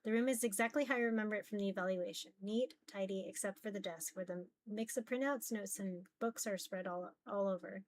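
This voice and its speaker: A woman's voice: mid-range voice, a little fast, hardly any pauses, a little hiss or hum. A woman reading a visual novel aloud as dramatic narration.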